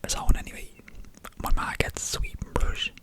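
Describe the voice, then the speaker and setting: A young man with an Irish accent, whispering an animated story to his viewers and swearing casually as he goes. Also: moderate pace. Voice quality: whispering throughout. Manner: animated, swears casually, addresses listeners as lads. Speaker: vlogger telling a story.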